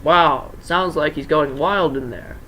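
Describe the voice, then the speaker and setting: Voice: mid-range voice, a little slow, a bit bright, lightly acted. A performer voicing a bossy, flirtatious female animatronic chicken in a fan fiction reading. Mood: curious. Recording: noisy amateur home recording.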